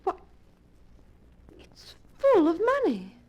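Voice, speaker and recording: An actress playing a hesitant, pliant criminal's wife, breathless and melodramatic in golden age radio style. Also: female voice, very high-pitched, huge pitch swings, slow pace, darkish tone, highs cut off, exaggerated voice. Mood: amazed.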